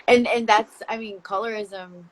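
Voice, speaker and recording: A woman's voice: on the high side, fairly even intonation, measured pace, low-quality recording. A woman from Costa Rica interviewing a guest in a casual livestream chat, talking in long rambling turns with frequent restarts. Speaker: interviewer, company founder. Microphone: phone, video call.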